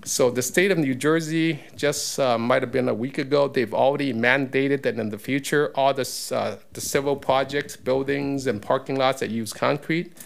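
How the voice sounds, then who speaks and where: A man's voice: mid-range voice, fairly even intonation, moderate pace, vocal fry, few pauses. A man giving a public comment at a council meeting podium, explaining in a conversational way with many fillers. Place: council chamber. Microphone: podium mic.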